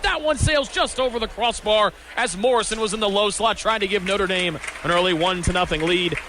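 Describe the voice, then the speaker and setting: Higher-voiced man calling live hockey play-by-play. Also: background noise. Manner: clipped, descriptive phrasing in short fragments. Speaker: hockey radio play-by-play announcer. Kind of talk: live sports commentary. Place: hockey arena during a live game.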